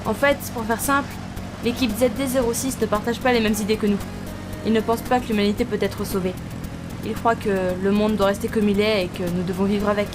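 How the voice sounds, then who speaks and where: A woman's voice: mid-range voice, steady tone, fairly quick, over music, lightly acted. A woman playing a determined, hot-headed young soldier in an amateur audio drama, speaking firmly and earnestly.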